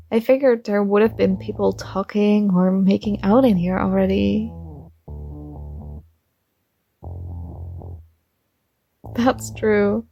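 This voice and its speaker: Slow, gravelly-edged friendly young student played by a woman. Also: medium pitch. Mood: warm, tentative. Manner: gentle, unhurried, with small giggles. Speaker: ASMR roleplay performer. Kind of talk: ASMR roleplay, soft conversational acting.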